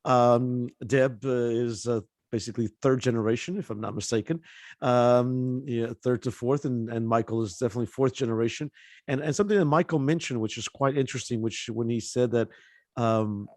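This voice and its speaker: A middle-aged man from Portugal moderating a panel discussion off the cuff, speaking in a halting, wordy, thoughtful way. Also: mid-range voice, a little mellow, no room sound, studio quality.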